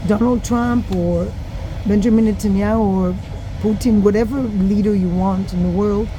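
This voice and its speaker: A woman's voice: steady tone, normal speed, dark tone, low-quality recording. Frank middle-aged woman talking on a train. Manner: opinionated, frank, indignant. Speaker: drama and yoga teacher. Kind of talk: casual monologue vlog. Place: inside a moving train. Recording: train rumble in the background. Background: train and vehicle noise.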